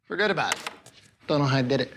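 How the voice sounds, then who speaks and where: An actor playing a frantic, sardonic, defiant astronaut in a television drama, animated and theatrical. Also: male voice, medium pitch, rapid-fire, slight background noise.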